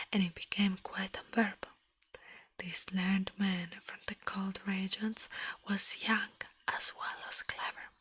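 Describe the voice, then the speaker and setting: A woman doing a soft ASMR livestream, reading fables and chatting in a gentle, hushed, breathy whisper, close to the microphone with slightly non-native phrasing. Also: mid-range voice, no echo.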